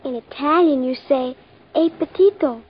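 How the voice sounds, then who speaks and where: A woman's voice: normal speed, natural voice. A woman doing a pleading little hungry girl. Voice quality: high, soft and childlike. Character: small hungry dream girl, pleading and insistent. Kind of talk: 1940s radio acting. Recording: muffled old radio recording.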